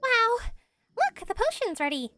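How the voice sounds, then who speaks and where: A woman voicing a tiny, excitable, childlike fairy girl companion in a video game, exclamatory and eager. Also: very high-pitched, a little slow, no room sound, pitched above the performer's own voice, heavily acted. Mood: delighted. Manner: exclamatory, refers to self by name. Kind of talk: amateur voice acting of video game dialogue.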